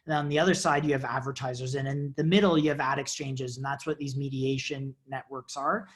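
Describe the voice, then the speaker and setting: A man's voice: medium pitch, steady tone, a little fast. A man in his thirties, answering community questions on a video call in an enthusiastic, explanatory way, with frequent uhs. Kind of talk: casual video call interview. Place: indoor office with banner. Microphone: earphone headset on video call.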